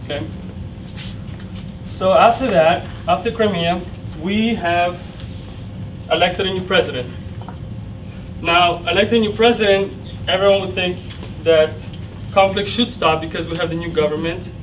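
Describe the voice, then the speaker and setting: Young Ukrainian-accented man, native Russian speaker, student presenter, somewhat high voice, recorded far off in a lecture room. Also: unhurried, long pauses. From central Ukraine. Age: young adult. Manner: explanatory, with frequent fillers and restarts. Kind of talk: classroom presentation with slides. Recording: distant mic with clear background noise.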